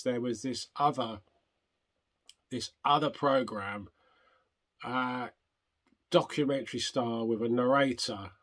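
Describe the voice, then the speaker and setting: An older man hosting a retro gaming vlog, chatting casually and rambling, hoarse from a chest infection. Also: mid-range voice. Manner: rambling, self-interrupting, chatty. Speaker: retro gaming channel host.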